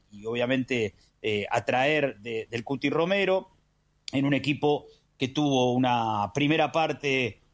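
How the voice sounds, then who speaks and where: A man with an Argentine accent hosting a sports show, analysing football in an emphatic, rhetorical manner with deliberate pauses. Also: a little high. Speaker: sports radio host.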